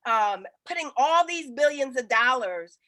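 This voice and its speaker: A woman's voice: high voice, lively intonation, a little slow, bright, forceful tone, rough recording. A woman consulting for small businesses, talking in a rambling way with many ums and restarts on a casual group video call.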